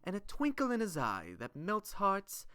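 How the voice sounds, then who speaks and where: A man voicing the bio of a vain, flirtatious gnome bard in a showy, theatrical manner with drawn-out flourishes. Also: high-pitched, wildly swooping pitch, pushed and bright, clear, resonant tone, dry recording, clean studio sound, voice pushed up, full character voice.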